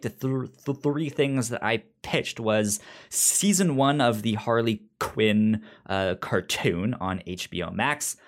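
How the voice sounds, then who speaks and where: A man co-hosting a podcast in casual chat, stuttering heavily on first sounds of words. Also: mid-range voice. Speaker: podcast co-host.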